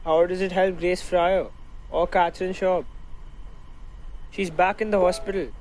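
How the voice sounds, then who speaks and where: An earnest lawyer acted by a young man presses his case in a radio play. His voice is high for a man, with faint noise behind it. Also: level tone, measured pace, a little mellow. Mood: probing, concerned. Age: young adult. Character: earnest, principled male plaintiffs' lawyer. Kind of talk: student radio drama acting. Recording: faintly noisy home recording.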